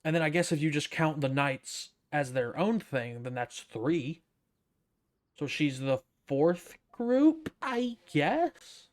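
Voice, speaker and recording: A man's voice: a little high, big pitch swings, slow. A man giving casual gameplay commentary as a streamer, with wry, offhand, muttered asides. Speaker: streamer playing a game.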